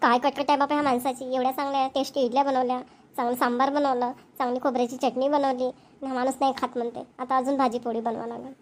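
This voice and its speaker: A woman's voice: high-pitched, level tone, quick pace, lightly acted. A woman playing an exasperated, hard-working village wife in a comedy cartoon, complaining sharply in a Varhadi Marathi accent. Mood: resigned grumbling. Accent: Varhadi Marathi from Vidarbha. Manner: scolding, complaining, sharp-tongued.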